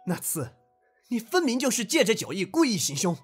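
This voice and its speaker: Man acting a haughty young nobleman, husky, lively. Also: high-pitched, a little fast, soft background music, exaggerated voice. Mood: indignant, scornful. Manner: haughty, archaic turns of phrase. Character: proud, petulant young nobleman, easily offended. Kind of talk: audio drama acting.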